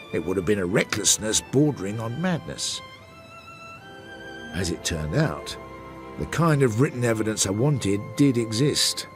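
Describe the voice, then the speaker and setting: A low-voiced detective narrating a radio mystery. He is reflective and wry, with lively rises and falls in his voice, over faint music. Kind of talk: scripted first-person radio drama narration.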